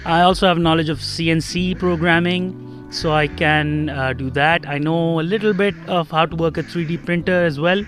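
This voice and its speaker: A young man from Pakistan answers interview questions in a relaxed way, with some uh and um fillers. His voice sits slightly high. Faint music plays behind him. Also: normal speed. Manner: relaxed, uh and um fillers, laughs lightly. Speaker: guest.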